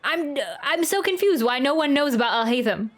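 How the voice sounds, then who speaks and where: A woman's voice: sits high, animated, a little fast. A woman livestreaming a video game, giggly and rambling as she chats casually with her viewers. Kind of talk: casual livestream reaction chat. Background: game music.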